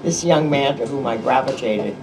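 A woman's voice: a bass voice, moderate pace, background noise. An older woman, a cabaret performer in a small nightclub, with a wry, self-mocking manner. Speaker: cabaret singer. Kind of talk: live cabaret singing with spoken banter. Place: small dim restaurant nightclub. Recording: roomy, distant amateur live recording with piano. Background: piano accompaniment.

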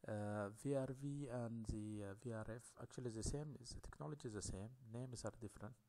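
A man giving a technical lecture over slides, talking in a halting way with many fillers. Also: fairly low pitch, mellow, slight background noise.

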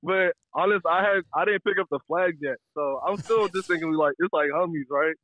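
A man's voice: on the high side, fairly quick, fairly bright tone, dry recording, rough recording. A young American man, a caller casually telling a personal story in slangy, profane language.

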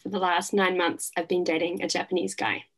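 A woman's voice: lowish voice, level tone, brisk, poor audio. Cheerful young New woman. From New Zealand. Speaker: panel guest.

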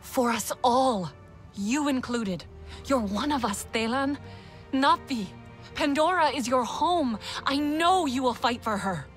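An actress playing an earnest, determined young alien heroine in a video game, speaking persuasively. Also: female voice, high voice, lively intonation, slow, soft background music.

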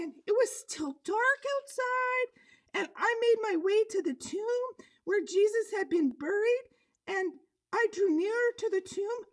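A tiny, extremely high-pitched puppet woman tells a children's story. She is earnest and grieving, with a slow, dramatic delivery that draws out her words. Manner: slow, theatrical, drawn-out delivery.

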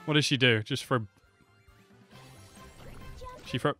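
Young man, mid-pitched voice, casual reaction commentary, close desk mic, faint music behind. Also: a bit bright. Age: 20s. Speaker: reaction video host. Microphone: close desk microphone. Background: game trailer music.

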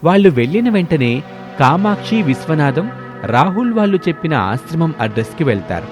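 A man's voice: a little high, big pitch swings, fairly quick, music in the background. A man narrating a scripted moral story in a dramatic storytelling style.

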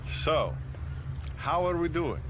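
A man's voice: a little high, very animated, takes it slowly, background noise. A man playing a self-important middle-aged Soviet officer in a game cutscene, formal and dry, with music behind. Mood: smug, composed.

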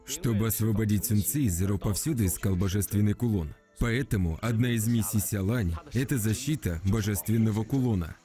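A man playing a serious, authoritative martial guardian in a dubbed animated fantasy, stern and instructive. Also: lowish voice, a little fast, hardly any pauses, soft background music, close to an everyday voice. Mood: solemn, instructive.

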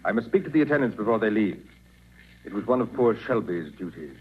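Animated, formal museum doctor. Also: male voice, lowish voice, fairly quick, slightly rough. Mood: guarded, formal. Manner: formal, precise, polite. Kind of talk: 1940s radio drama acting. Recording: muffled, faintly noisy 1940s radio recording.

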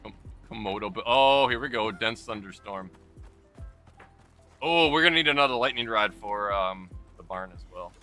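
A man's voice: lowish voice, lively intonation, normal speed, bright, forceful tone, faint music behind. A man streaming a game, chatting casually with his friends and his viewers. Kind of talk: casual gaming banter with friends and stream chat.

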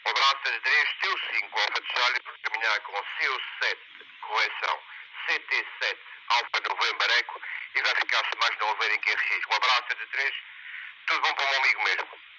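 A man chatting courteously and a little haltingly as a ham radio operator, heard through a two-way radio. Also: lowish voice. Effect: two-way radio transmission. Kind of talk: casual radio contact chat. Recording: noisy handheld radio speaker outdoors.

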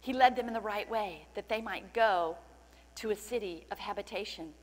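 A middle-aged woman pastor preaching a sermon with fervent praise and scripture reading, her voice slightly cracking from loudness. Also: medium pitch, moderate pace. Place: large church stage indoors. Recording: long hall reverb, faint hum.

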